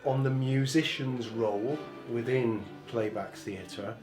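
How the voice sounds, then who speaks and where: A man speaks in a thoughtful, halting way, as if reflecting in an interview. His medium-pitched voice carries the echo of the room. Guitar music plays clearly behind him. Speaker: theatre musician leading workshops. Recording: roomy echo, music underneath.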